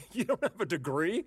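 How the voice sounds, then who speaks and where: An actor playing a slick, two-faced, breezily dismissive office boss in a comedic audio drama, full of false encouragement. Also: male voice, sits high, husky, no room sound, exaggerated voice. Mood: smarmy dismissal.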